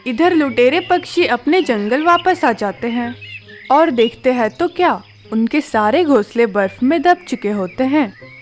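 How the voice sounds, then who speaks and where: A woman narrating a cartoon story in a steady, clear storytelling manner. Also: high-pitched, unhurried.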